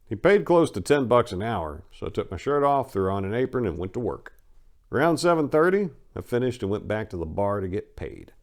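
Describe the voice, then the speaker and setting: A man's voice: on the low side, big pitch swings, fairly quick. A man giving a dramatic reading of a first-person story as an audiobook narrator, in a colloquial, salty and emphatic way.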